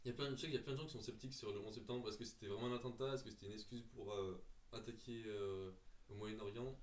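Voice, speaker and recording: Young man, quick slangy chat, distant from the mic, echoey room. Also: fairly low pitch, fairly even intonation. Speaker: co-host. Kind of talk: casual group discussion.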